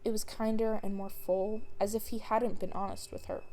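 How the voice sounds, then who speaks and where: Gravelly-edged woman reading a story aloud. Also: medium pitch, level tone, normal speed, mellow, slight background noise. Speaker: fan fiction reader. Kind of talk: expressive audiobook-style story narration.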